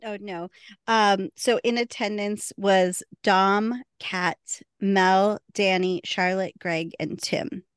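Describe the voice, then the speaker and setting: A woman's voice: medium pitch, fairly even intonation, slow, clean tone, no echo, studio quality. A woman co-hosting a podcast, chatting casually and laughing often. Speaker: podcast co-host.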